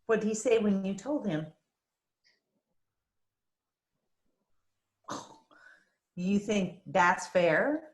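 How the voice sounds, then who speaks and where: A woman acts out a middle-aged small-town single mother in a dramatic script read. She is fearless, plain-spoken and protective of her child. Her medium-pitched voice sounds in a roomy, echoey space. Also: lively intonation, measured pace, close to an everyday voice. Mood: guarded. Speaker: actor in a screenplay table read. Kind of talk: dramatic screenplay table read.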